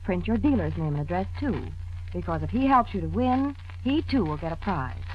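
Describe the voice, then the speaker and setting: A woman's voice: lowish voice, lively intonation, normal speed. An American woman reading advertising copy in 1940s radio announcing style. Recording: dull, faintly noisy old radio recording.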